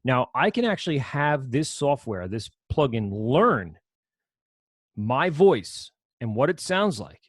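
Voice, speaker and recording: A man with a low voice teaching an audio production class over a screen recording, explaining in a casual, rambling way with frequent self-corrections. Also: big pitch swings, takes it slowly, breathy edge, no room sound, studio quality. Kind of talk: screen-share software lesson.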